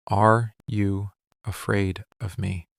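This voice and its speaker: Possessive crime boss played by a man for intimate ASMR roleplay: measured, intense, unhurried delivery, very close and dry. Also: lowish voice, level tone, gravelly, dry recording. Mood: vulnerable, pleading. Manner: controlled, deliberate, intense, speaking in short measured statements. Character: possessive, menacing mafia boss in love, adult man. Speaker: voice actor. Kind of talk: boyfriend ASMR roleplay, intimate dramatic monologue. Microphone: close studio mic, dry.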